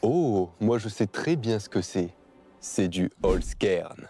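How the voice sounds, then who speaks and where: A man's voice: on the low side, lively intonation, slow, dark tone, full character voice. A man playing an earnest, lovelorn bachelor nearing thirty in a scripted comic skit. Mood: knowing.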